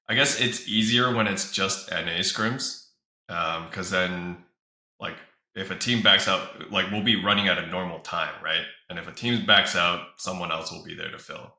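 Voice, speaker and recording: A man's voice: low-pitched, bright, forceful tone. A man giving casual interview answers as an esports organizer, recorded in a room with some echo. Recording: roomy echo.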